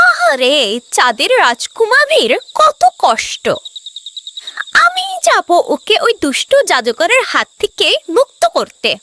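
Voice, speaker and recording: A woman as a very high-pitched, earnest little girl. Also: huge pitch swings, slow, pushed and bright, slight background noise, heavily acted. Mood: determined. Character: brave, earnest little girl named Meera, pleading and kind. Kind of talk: children's cartoon story voice acting.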